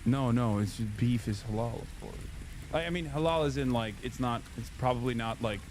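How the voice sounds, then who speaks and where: A man's voice: medium pitch, fairly quick, a little mellow, slight background noise. A man from Turkey hosting a livestream who chats casually and rambles with lots of restarts while ordering food. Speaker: streamer host.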